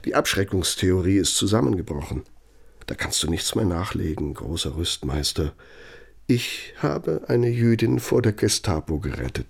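An actor in a radio drama playing an aging, bitter Nazi architect, speaking in a reproachful, deliberate, rhetorical manner. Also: male voice, low-pitched, creaky, breathy edge, heavily acted. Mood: accusing, threatening. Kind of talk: German radio drama monologue.

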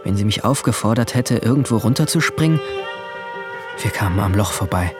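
A man's voice: fairly low pitch, animated, lightly acted. An actor playing an anxious, guilt-ridden seventeen-year-old boy, narrating his story introspectively in an audio drama. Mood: wistful. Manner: introspective, halting in dialogue. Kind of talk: first-person narration in a German audio drama. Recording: music under the voice.

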